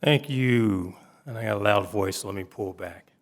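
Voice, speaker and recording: A middle-aged man speaks at a city council meeting in a fairly low voice. He talks earnestly, stopping and restarting his sentences as he goes. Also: moderate pace. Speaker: city commission member. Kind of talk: public meeting remarks.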